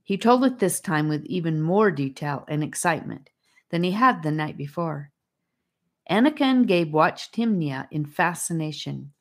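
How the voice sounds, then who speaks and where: Woman, low voice, children's audiobook narration, fantasy story reading. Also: animated, normal speed.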